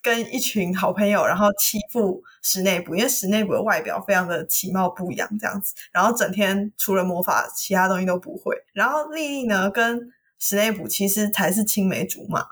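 A woman's voice: medium pitch, a little fast, few pauses, fairly bright tone, breathy edge. A woman with a Taiwanese accent chatting casually and animatedly as a podcast co-host, retelling and explaining things.

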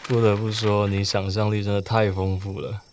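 Low-voiced, dreamy Taiwanese schoolboy played by a man. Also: flat delivery, normal speed, a little mellow, slight background noise, close to an everyday voice. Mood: teasing, incredulous. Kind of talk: Mandarin radio play acting and first-person narration.

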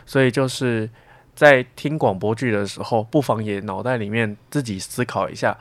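A young man speaks Mandarin with a Taiwanese accent in a rather low voice. He answers as a student interviewee, reflectively working through examples one step after another. The sound is very dry. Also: moderate pace, dry recording. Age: young adult.

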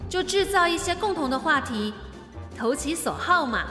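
Casting: bold young female confidante, high forceful voice, theatrical and cocky, roomy reverb with music. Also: animated, normal speed. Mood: smug, coaching. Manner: theatrical, self-assured. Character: bold, cocky young female best friend giving love advice. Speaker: voice actor in radio drama. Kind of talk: modern urban comedy radio drama acting.

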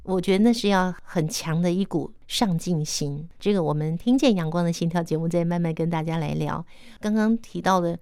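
A woman speaks Mandarin with a Taiwanese accent as a radio host. Her voice is slightly low and recorded very dry. She interviews conversationally, with an attentive, encouraging manner. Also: a little fast, warm tone, clear, resonant tone, no echo.

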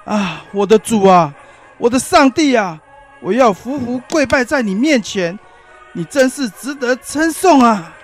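A man's voice: very high voice, lively intonation, a little slow, soft background music, exaggerated voice. An actor playing an awed, fervent male fisherman disciple in a biblical radio drama, speaking in earnest exclamations. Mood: worshipful. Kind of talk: Chinese-language biblical radio drama acting.

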